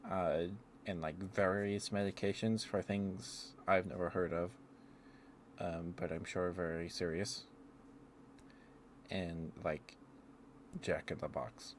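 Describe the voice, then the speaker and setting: A man livestreamer rambling casually in an ASMR chat. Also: low voice. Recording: clear background noise.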